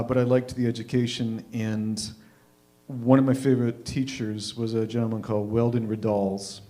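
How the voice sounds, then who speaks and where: Low-voiced man in his fifties, wry host. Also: mellow. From Canada. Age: 50s. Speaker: host of a live readers' theatre show. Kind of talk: informal personal storytelling to an audience.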